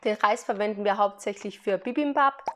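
A woman's voice: medium pitch, fairly quick, pushed and bright. A woman talking casually to her viewers in a product haul vlog, chatty, laughing now and then between remarks as she explains each item. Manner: explains items one by one to viewers. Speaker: vlogger.

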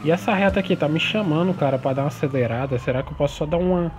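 A man's voice: a little high, very fast, background noise. A man from Brazil streaming a roleplay game, chatting in laid-back slang and talking to his viewers. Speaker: gaming streamer. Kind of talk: roleplay gameplay chat.

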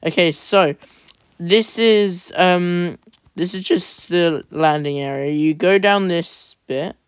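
A man's voice: sits high, clean tone, no room sound, clean studio sound. Upbeat man commenting on a building game. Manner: upbeat, chatty, quick asides. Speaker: host playing a building game with family.

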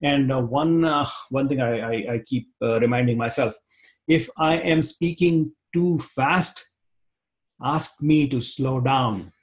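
A man in his forties with an Indian accent, teaching an online class over a video call, talking quickly and restarting phrases. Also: mid-range voice, poor audio. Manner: fast-talking, repeats words, restarts phrases. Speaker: instructor. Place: home room, indoors. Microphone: webcam on video call.

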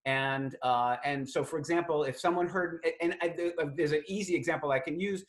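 Lexicographer type, middle-aged, Boston accent, slightly high voice, thoughtful, on a video call. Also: male voice. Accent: Boston, non-rhotic. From Greater Boston. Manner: articulate, measured, with frequent fillers. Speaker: lexicographer guest. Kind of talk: thoughtful conversational explaining. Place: home study with bookshelves.

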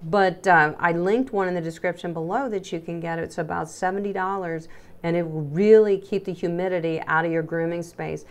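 A middle-aged woman presents a casual how-to vlog in a slightly low-pitched voice. She is chatty and emphatic, and she addresses her viewers directly. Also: steady tone, fairly quick. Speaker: dog groomer presenting salon setup.

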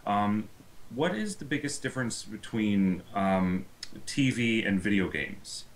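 A man co-hosting a casual interview podcast, putting questions carefully with frequent pauses. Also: lowish voice, lively intonation, poor audio. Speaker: podcast co-host.

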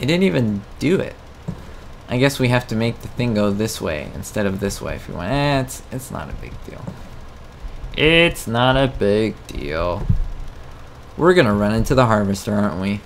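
Guy rambling over his farming game, low-ish voice, pokes fun at himself, little hum of game noise behind. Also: fairly bright tone. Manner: chatty, self-mocking, talks to viewers. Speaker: gameplay commentator. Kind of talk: casual let's-play commentary.

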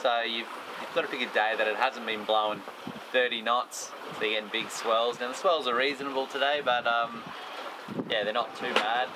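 A man's voice: medium pitch, fairly even intonation, moderate pace. A man chatting casually to camera as a sailing vlogger. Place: outdoors on a sailing yacht underway. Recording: noisy wind and waves on boat.

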